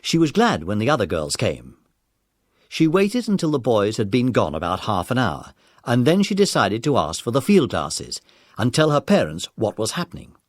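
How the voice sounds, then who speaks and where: A man narrating a children's adventure story in a measured, dramatic storytelling manner. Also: medium pitch, huge pitch swings, dry recording, studio quality.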